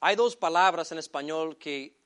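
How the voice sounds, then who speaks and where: Earnest bright-voiced male preacher. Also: fairly high pitch, smooth. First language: English. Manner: earnest preaching, slows and stresses key phrases, addresses listeners as brothers. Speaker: pastor. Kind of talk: sermon.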